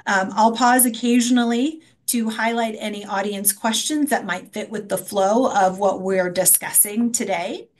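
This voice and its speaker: A woman's voice: mid-range voice, moderate pace, hardly any pauses. A woman moderating a conference panel webinar, reading introductions and housekeeping notes with frequent ums.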